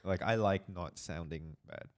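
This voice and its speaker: A young man, co-hosting a casual podcast chat, playful and quick to laugh. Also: deep voice, dry recording. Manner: laughs often, playful and upbeat. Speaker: podcast co-host.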